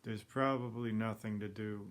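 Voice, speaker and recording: A man leading a guided meditation in a plain-spoken, unhurried way, leaving long pauses between phrases. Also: on the low side, steady tone. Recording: clearly noisy background ambience.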